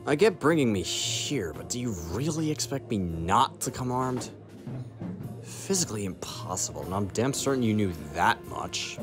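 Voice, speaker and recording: A man's voice: fairly low pitch, sing-song, normal speed, over music. A man playing a guarded, battle-hardened syndicate operative in an audio roleplay, speaking in a dry, clipped, matter-of-fact way. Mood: dry, confident. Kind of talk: dramatic audio roleplay acting.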